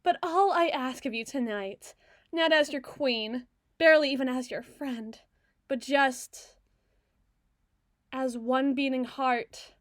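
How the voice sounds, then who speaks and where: Woman playing a high-voiced, imperious evil queen. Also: no echo, clean studio sound. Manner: theatrical, formal, deliberate oratory with dramatic emphasis. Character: regal, possessive, icy evil queen, imperious and cruel. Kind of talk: scripted ASMR roleplay monologue.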